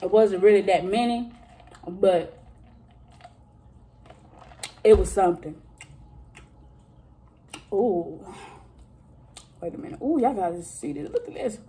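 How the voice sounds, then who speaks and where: Woman in her thirties, vlogger, unhurried mellow voice, chatty, talks straight to viewers, drawn-out exclamations while eating. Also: medium pitch. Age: 30s. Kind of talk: casual mukbang chat to viewers.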